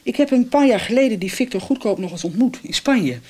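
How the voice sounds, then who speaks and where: A woman's voice: fairly high pitch, animated, a little fast, darkish tone, slightly rough. An actress playing a relieved, chatty woman visiting friends in a radio soap, a little hesitant. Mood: confiding.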